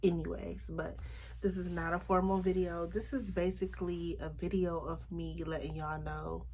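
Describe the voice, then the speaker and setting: A young woman talks frankly to her viewers in a relaxed, chatty way. Her voice sits a little low and is touched with rasp, since she is getting over a cold. She stretches words out for emphasis. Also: normal speed, slight background noise, low-quality recording. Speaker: makeup artist and vlogger. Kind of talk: casual talk to camera.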